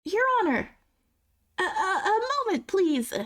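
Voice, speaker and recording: A woman's voice: very high voice, sing-song, measured pace, noisy background, exaggerated voice. A woman voicing an indignant, flustered male prosecutor, reading courtroom game dialogue in a stammering, protesting way. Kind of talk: reading visual novel game dialogue aloud. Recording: music behind. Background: game music.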